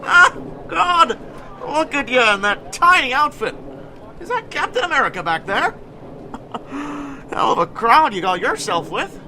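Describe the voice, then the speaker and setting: Hearty, animated older father. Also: very high voice, a little slow, slight background noise, exaggerated voice, rough recording. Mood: overjoyed. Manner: folksy, exuberant. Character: hearty, affectionate older American naval officer father. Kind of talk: cinematic audio drama acting.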